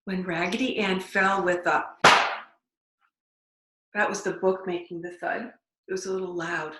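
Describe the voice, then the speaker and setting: A woman reading a storybook aloud to young children, deliberately, with simple explanatory asides. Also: on the low side, fairly even intonation, fairly bright tone. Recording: echoey room.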